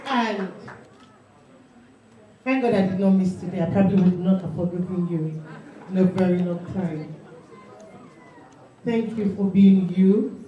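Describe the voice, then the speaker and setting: Nigerian female, unhurried emphatic tribute speaker, roomy and slightly dull recording. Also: fairly low pitch. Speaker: guest speaker from the film industry. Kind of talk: impromptu tribute speech. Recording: echoey hall, distant dull microphone.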